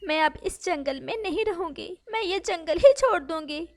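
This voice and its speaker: A woman voicing a small, gentle female bird in a cartoon story, meek and plaintive. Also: very high-pitched, lively intonation, moderate pace, voice pushed up. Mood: hurt.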